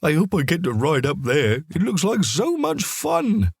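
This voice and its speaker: A man's voice: fairly high pitch, wildly swooping pitch, a little slow, vocal fry, breathy edge, no room sound, clean studio sound, voice pushed up, heavily acted. A man voicing an eager, playful pet dog who muses expressively to himself in a storybook. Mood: excited.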